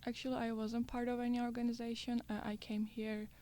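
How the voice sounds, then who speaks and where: Young woman from Turkey, monotone and mellow, halting answers. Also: fairly high pitch. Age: young adult. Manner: halting, simple phrasing with fillers. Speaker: student athlete. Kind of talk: casual interview answers.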